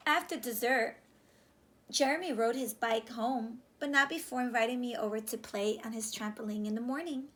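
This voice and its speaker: A woman in her thirties reads a children's story aloud like a teacher, animated and deliberate, pausing to question her young listeners. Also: a little high. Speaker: teacher reading a picture book aloud. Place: small room indoors, on a couch. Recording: clear background room noise.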